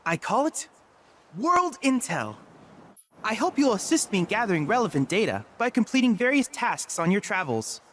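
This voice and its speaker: A man's voice: high voice, sing-song, moderate pace, fairly bright tone, a little hiss or hum. An actor voicing an eager young boy researcher in a video game, with formal, precise, enthusiastic diction. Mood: earnest, businesslike.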